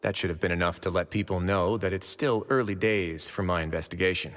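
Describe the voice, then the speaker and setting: A man playing a composed, shrewd prison official in a video game, speaking in a measured, dryly courteous manner. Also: sits low, steady tone, a bit bright, long reverb tail. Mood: self-assured. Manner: measured, unhurried, dry. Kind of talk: video game character acting. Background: game music.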